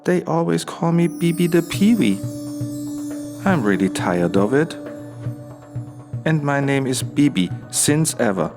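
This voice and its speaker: A man playing a weary, self-asserting dancer in a radio play, halting and repetitive. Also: fairly high pitch, sing-song, darkish tone. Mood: weary, brooding. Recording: music behind the voice.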